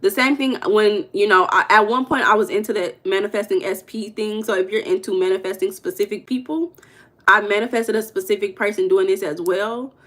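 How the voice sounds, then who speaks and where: Young American woman, spiritual coach, casual livestream voice, rambling and relaxed. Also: medium pitch, fairly quick. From United States. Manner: loose, rambling and conversational, with self-corrections and humming between comments. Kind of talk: casual livestream chat, reading viewer comments.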